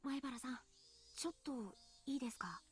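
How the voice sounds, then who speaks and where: Woman playing a polite, high-voiced school character. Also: quick pace, soft background music, close to an everyday voice. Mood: polite, concerned. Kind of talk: Japanese visual novel voice acting.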